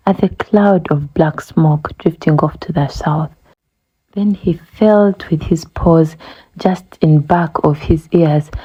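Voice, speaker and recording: A woman reads a rhyming children's story aloud with measured expression. Her voice is fairly low, mellow and a little husky. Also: lo-fi sound. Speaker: children's book narrator.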